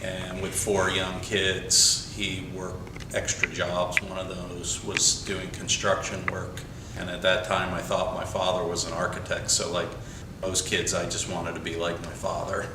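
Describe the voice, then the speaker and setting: Brief: male architect, very low monotone, measured pace of listing, distant echoey hiss-filled recording. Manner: measured, lists ideas one by one. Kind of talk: documentary interview. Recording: echoey room, mic set far off, noisy.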